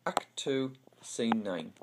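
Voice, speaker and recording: A man's voice: medium pitch, animated, takes it slowly, background noise. A man with a Houghton-le-Spring pitmatic accent from North East England, reading play dialogue as an amateur. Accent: Houghton-le-Spring pitmatic, North East English. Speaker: amateur script reader. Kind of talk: dramatic dialogue read-through.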